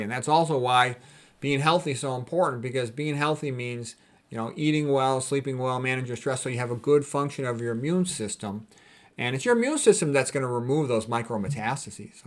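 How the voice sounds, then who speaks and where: A man gives an informal explanatory talk in the role of a doctor. His delivery is blunt and colloquial, full of conversational asides and firm opinions. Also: medium pitch. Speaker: doctor giving a health talk. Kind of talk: informal explanatory lecture with commentary.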